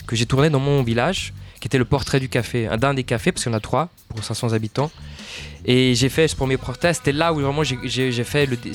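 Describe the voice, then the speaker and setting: Guy from portugal talking about his film, rapid and rambling, faint music under it. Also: mid-range voice. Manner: fast, searching for words, explains at length. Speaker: film director, guest. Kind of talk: interview answers.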